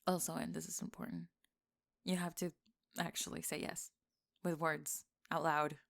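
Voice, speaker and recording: A woman playing a bossy, secretly smitten young tomboy best friend in an intimate ASMR roleplay, teasing and defensive, with halting, stammering delivery. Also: low-pitched, level tone, gravelly, heavily acted. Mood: bossy, nervous.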